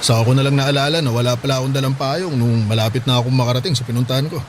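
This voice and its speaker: A man's voice: medium pitch, fairly even intonation, rapid-fire, heavy background noise, lightly acted. A man playing a hardworking middle-aged father in a Filipino radio drama, plain-spoken and reassuring, with a Filipino accent. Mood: weary, easygoing. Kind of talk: radio drama acting in Tagalog.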